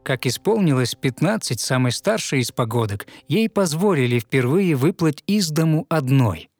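A man narrating a fairy tale in verse, with measured, rhythmic storytelling. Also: on the high side, lively intonation, a little mellow, no room sound, faint music behind.

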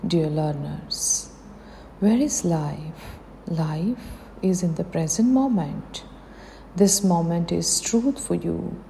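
A woman's voice: medium pitch, dark tone. A woman with an Indian accent leading a guided meditation, giving soothing instructions in short phrases. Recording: long hall reverb, faint background noise.

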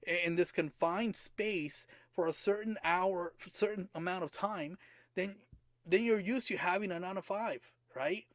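Slightly hoarse male podcast host, high-ish voice, dry close sound, earnest and questioning. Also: dry recording. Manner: earnest, repeats rhetorical questions to the listener. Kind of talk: motivational podcast monologue.

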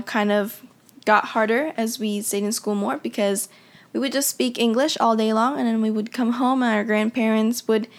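Young woman, from the Philippines, casual personal storytelling, reflective, conversational. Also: fairly high pitch. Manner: reflective, conversational, many "you know" fillers. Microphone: audio only, unseen.

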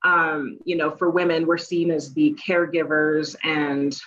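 A woman's voice: sits low, a little slow, lo-fi sound, a little hiss or hum. A middle-aged woman who runs a bookkeeping firm, chatting as a guest on a live stream. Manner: chatty, relaxed, laughs easily. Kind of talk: casual live-stream chat.

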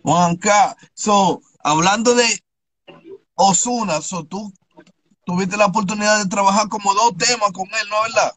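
A man hosting a casual podcast interview asks his questions in a halting way, repeating words as he searches. His voice is high for a man and he speaks slowly. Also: fairly bright tone, no room sound. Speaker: podcast host.

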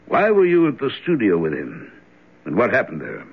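A man's voice: lowish voice, wildly swooping pitch, normal speed, vocal fry, slightly rough, heavily acted. Elderly minister, earnest, grave, probing, stern fatherly concern, vintage radio drama, dull band-limited recording. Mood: concerned, confiding. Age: 60s. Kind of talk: old-time radio drama acting.

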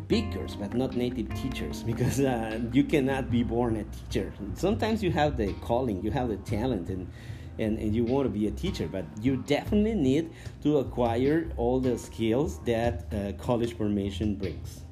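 A man's voice: medium pitch, lively intonation, dark tone. Spanish-native man talking over guitar music. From Mexico. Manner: thoughtful, frequent "uh" pauses. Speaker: English teacher and coordinator. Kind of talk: talking to camera. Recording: guitar music playing under the voice.

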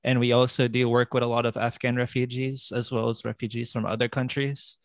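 A man's voice: mid-range voice, level tone, a little fast, no room sound, studio quality. A man hosting a podcast interview, talking casually with lots of fillers and frequent laughs. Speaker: podcast host.

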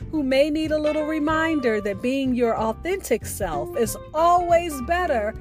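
Lady podcast host, really high voice, takes her time reading the outro, music playing behind her. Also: lively intonation, a little slow. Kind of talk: scripted podcast outro narration. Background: instrumental music.

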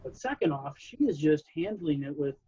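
A man's voice: fairly high pitch, animated, moderate pace, dark tone, slightly rough, dull audio. A man, a coach, talking casually and reflectively, with many "you know"s. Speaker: wrestling coach. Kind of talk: casual conversation.

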